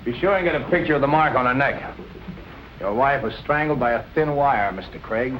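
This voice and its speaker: An actor playing a dry, businesslike police captain questioning suspects in 1940s film noir style. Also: male voice, mid-range voice, a little fast, natural voice. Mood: dry, probing. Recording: noisy old film soundtrack.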